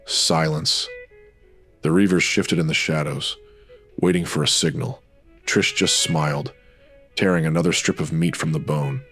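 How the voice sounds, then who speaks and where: An actor playing the brooding narrator of a pulp noir audio drama, terse, dramatic and ominous. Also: male voice, a bass voice, steady tone, unhurried, pauses often, fairly bright tone, soft background music, full character voice.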